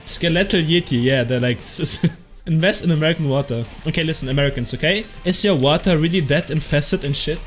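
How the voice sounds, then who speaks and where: A young man from Germany with a heavy German accent, excitably chatting with his stream chat while playing, swearing and laughing freely. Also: on the high side, brisk, soft background music. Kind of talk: casual live game commentary, reading chat. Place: small room at home.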